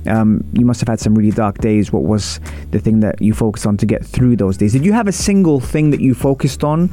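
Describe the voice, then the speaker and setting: A man's voice: on the low side, brisk, warm tone. A man hosting a live-stream interview, asking questions and reading out viewer comments in a friendly way. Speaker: host.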